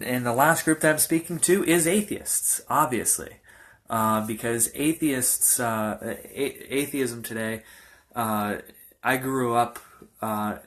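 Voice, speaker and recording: Young male pastor, lowish voice. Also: a bit bright. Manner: halting, loosely rambling delivery. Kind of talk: informal testimony talk to camera.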